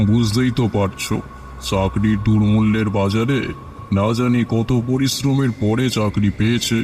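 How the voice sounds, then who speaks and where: A man's voice: lowish voice, fairly even intonation, normal speed, a little mellow, natural voice. A man playing a fearless, conscientious postman in a horror cartoon story, with faint background noise. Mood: determined. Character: fearless, conscientious male postman recounting a ghostly memory. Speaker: postman character. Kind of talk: Bengali horror audio story acting.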